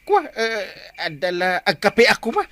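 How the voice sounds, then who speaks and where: A man's voice: high-pitched, sing-song, a little slow, fairly bright tone, a little hiss or hum, full character voice. An actor playing a sneaky, flustered husband who fears his wife, stammering and exaggerated, in Ilocano-accented radio comedy.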